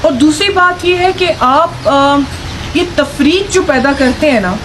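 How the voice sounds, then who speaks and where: A woman with a fairly high voice delivers sarcastic, scolding political commentary straight to her viewers. Her voice rings in an echoey room, and steady noise hangs under the recording. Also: a little slow. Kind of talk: opinion vlog.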